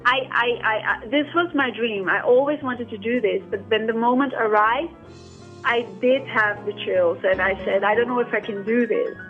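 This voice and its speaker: A woman from the Netherlands speaks as a guest in a radio interview, with a fairly high voice. She talks hesitantly and fills her pauses with "um" and "yeah." The audio sounds dull, with faint music underneath. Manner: hesitant, many ums and yeahs. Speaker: guest filmmaker.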